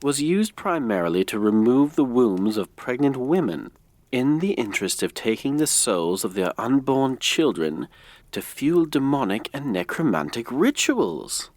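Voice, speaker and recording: Animated, inquisitive young occult collector. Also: male voice, mid-range voice, measured pace. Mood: fascinated. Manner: eager, inquisitive, savouring grisly details. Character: curious, morbidly fascinated young male collector of occult relics. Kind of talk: audio drama dialogue.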